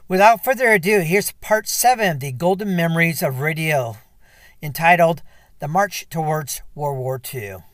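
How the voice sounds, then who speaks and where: A man's voice: a little high, moderate pace, a bit bright. A man speaking as a radio show host, reading a scripted introduction to his program.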